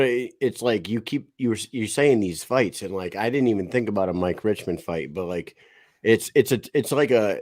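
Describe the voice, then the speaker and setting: Male podcast co-host, lowish voice, dry close sound, eager and halting. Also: a little mellow, dry recording. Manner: enthusiastic, halting restarts. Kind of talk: casual podcast chat.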